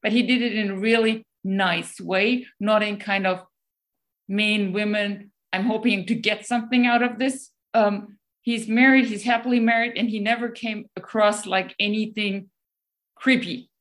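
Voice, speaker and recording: German woman chatting, lots of ums and restarts, mid pitch. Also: fairly even intonation, measured pace. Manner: hesitant, many ums and restarts. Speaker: guest speaker. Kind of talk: casual talk answering questions.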